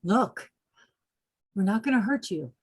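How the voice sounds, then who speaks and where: A woman playing a firm, guarded fighter under siege in a horror script reading, with a husky voice. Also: medium pitch, expressive, unhurried.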